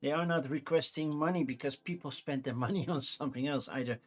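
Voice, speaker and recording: A man's voice: on the high side, fairly quick. A Dutch man in his forties with a Dutch accent, chatting casually and rambling on a livestream, with frequent restarts. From Netherlands. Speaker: streamer.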